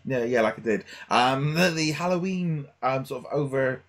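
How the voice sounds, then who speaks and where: A man's voice: medium pitch, lively intonation, normal speed. A middle-aged man chatting casually as a podcast host, hesitant and rambling as he thinks aloud. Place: small home room with shelves, indoors. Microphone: headset.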